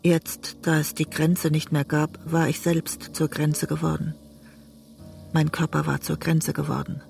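An actor in a literary radio drama playing a weary, wounded writer, reflective and restrained in narration and dialogue. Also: male voice, a little high, monotone, a little slow, vocal fry, a little mellow, soft background music. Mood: reflective, melancholy.

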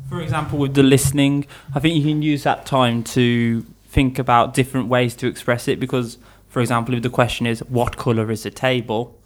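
A man's voice: medium pitch, little pitch movement, fairly quick, hardly any pauses. A young man from England, an English teacher, chatting casually in an interview, laughing easily and restarting his sentences.

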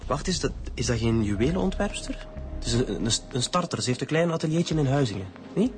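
An actor playing a nervous, evasive murder suspect with a Flemish accent in a naturalistic radio drama. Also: male voice, medium pitch, animated, fairly quick, close to an everyday voice. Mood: defensive. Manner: hesitant, defensive. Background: music.

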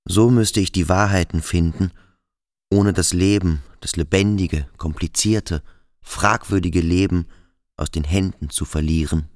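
An actor playing a brooding, introspective boarding-school pupil in a radio drama, musing haltingly in short, deliberate fragments. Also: male voice, low-pitched, a little mellow, dry recording, studio quality. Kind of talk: literary radio drama inner monologue.

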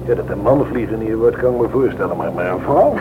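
Gentleman passenger, acted by a man: old-fashioned, faltering, lively intonation, dull vintage radio sound. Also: quick pace, background noise, lightly acted. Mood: puzzled. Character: old-fashioned, awkward male passenger, politely puzzled by women. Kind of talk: radio drama acting. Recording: muffled old radio recording, rumbling engine.

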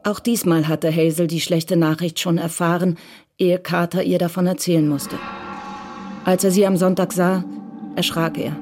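A woman's voice: lowish voice, little pitch movement, moderate pace, darkish tone. A woman narrating a scripted audio drama in Standard German. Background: music.

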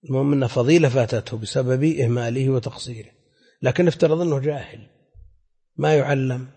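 In a reverberant hall, a man gives an explanatory religious lecture. His voice is mellow and husky, at a medium pitch. He teaches conversationally, often turning to pose questions to his class. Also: brisk. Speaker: Islamic scholar teaching a hadith class. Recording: echoey hall with long reverb.

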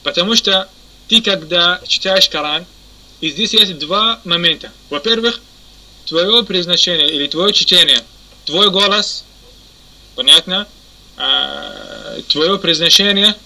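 A man teaching a religious lesson. He pauses often, corrects himself, and keeps asking if his listeners understand. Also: high voice, expressive, vocal fry, sharp tone, low-quality recording. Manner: halting, many fillers and self-corrections. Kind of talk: explanatory lesson.

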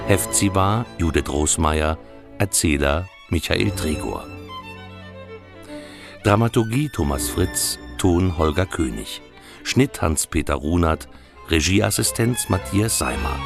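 Male announcer reading radio credits, very deep and gravelly, violin music behind.

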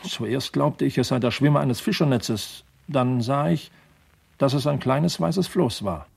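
Creaky-voiced sober ship's officer. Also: male voice, mid-range voice, darkish tone, heavily acted. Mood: sober, grave. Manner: measured, factual, short declarative sentences. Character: sober first watch officer of a Greek freighter. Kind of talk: radio play witness testimony.

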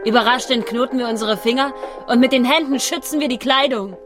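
A woman's voice: on the high side, a little fast, close to an everyday voice. A woman acting in a literary radio drama, narrating descriptively as a fatalistic young lover. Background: music with instruments.

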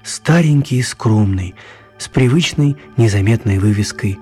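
An actor playing a storyteller, narrating a children's radio tale with measured dramatic pauses. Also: male voice, on the low side, expressive, dark tone. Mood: dramatic narration. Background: music.

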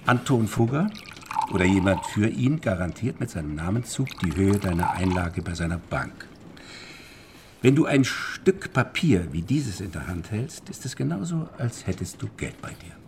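A shrewd former banker turned forger in a historical radio drama. His low voice moves in lively swings as he lays things out step by step with pointed pauses. A faint noise sits behind him. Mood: explaining, sly. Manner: deliberate, explanatory, with pointed pauses.

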